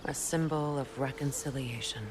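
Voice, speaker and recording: An actress voicing a proud, wounded goddess in a cinematic video game, speaking in a solemn, measured, reflective way. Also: female voice, a bass voice, steady tone, noisy background. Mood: bitter, reflective. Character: proud, wounded goddess confronting her painful past marriage.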